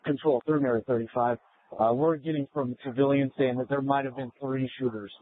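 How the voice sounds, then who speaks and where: A man's voice: medium pitch, steady tone, fairly quick, a little hiss or hum. A male police officer reporting an emergency over police radio. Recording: narrow, tinny scanner radio, dry.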